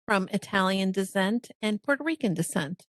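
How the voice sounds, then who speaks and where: Female podcast guest from El Salvador, mid-pitched voice, expressive personal storyteller who seeks agreement, dry close recording. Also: normal speed, dry recording. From El Salvador until age ten, then Miami. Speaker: podcast guest, business coach.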